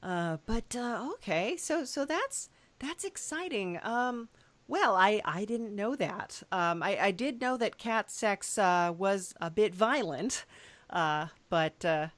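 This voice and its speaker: A woman hosting a comedy podcast, playing along with a halting, filler-heavy manner. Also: mid-range voice, lively intonation, no room sound. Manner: hesitant, many fillers, playing along with mock seriousness. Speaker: podcast host. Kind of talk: improvised comedy interview.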